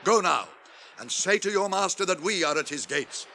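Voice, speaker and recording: Imperious old British wizard, radio drama: high, ringing, stately, impatient. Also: male voice, animated, normal speed, vocal fry, pushed and bright, heavily acted. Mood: commanding. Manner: stately, declamatory. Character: old male wizard, commanding, grand and impatient. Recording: faint background noise, radio drama.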